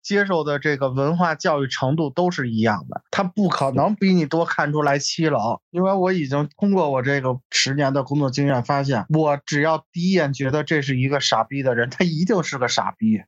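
A man in his thirties with a somewhat high voice talks casually as a guest. He is blunt and opinionated, swearing freely. The recording is fairly dry but a little dull. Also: no echo. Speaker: podcast guest with workplace experience. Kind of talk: casual chat.

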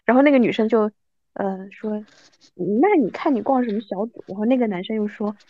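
A woman's voice: mid-range voice, fairly quick, no room sound, narrow, tinny audio. A woman in her thirties chatting casually like with an old friend, in a playful, self-mocking way. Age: 30s. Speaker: podcast host.

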